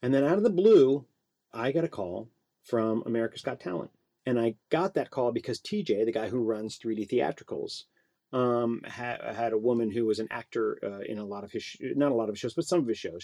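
A man's voice: mid-range voice, fairly quick, vocal fry, warm tone, no room sound. A man speaking as a guest puppeteer, telling anecdotes casually in an interview and acting out bits of dialogue.

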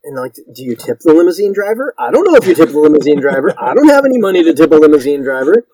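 A man's voice: a little high, very animated, quick pace, crowd noise. A man in his fifties from Connecticut, a guest actor telling stories in a casual interview, rambling and self-deprecating with many restarts.

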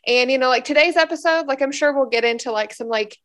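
A young woman hosting a casual podcast, her voice a little hoarse, talking in an upbeat, chatty way with many fillers, recorded from a hotel room. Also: sits high, fast, a bit bright. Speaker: podcast host. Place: hotel room, sitting on a bed.

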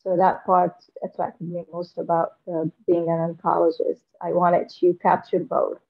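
A middle-aged woman from Syria, a guest author, talking thoughtfully in a casual interview with frequent pauses and fillers. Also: on the low side, little pitch movement, vocal fry. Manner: soft-spoken and thoughtful, with many fillers and restarts. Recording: lo-fi remote interview audio.

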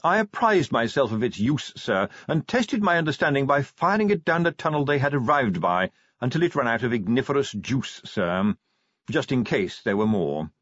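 A man voicing an unflappable formal butler, deferential, smooth and poker-faced in an audiobook story. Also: mid-range voice, sing-song, normal speed, a bit bright, no echo, lo-fi sound, pitched above the performer's own voice. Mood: smooth, deadpan.

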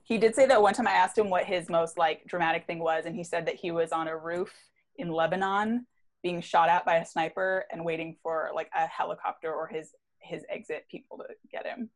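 Low-voiced chatty woman podcaster. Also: steady tone, brisk. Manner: chatty, filler-heavy with frequent "like". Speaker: podcast co-host. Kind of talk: casual chat.

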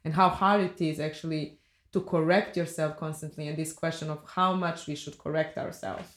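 A woman from Bosnia hosting a podcast, asking questions in a halting way as she searches for words. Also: deep voice, steady tone. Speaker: podcast host. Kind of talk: interview conversation. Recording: echoey room.

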